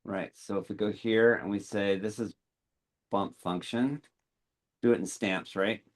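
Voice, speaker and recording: A man's voice: on the low side, steady tone, a little slow. A man chatting casually while he works on code at a computer, thinking aloud and asking questions. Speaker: meeting host typing code as he learns.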